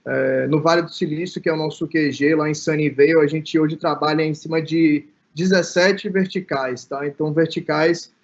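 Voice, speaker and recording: A man from Salvador with a Bahian Brazilian accent speaks in a fairly high voice. He presents informally and conversationally, checking in with short tags. The sound is dull and lo-fi, like an online call. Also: steady tone, moderate pace, hardly any pauses. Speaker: innovation manager, guest speaker.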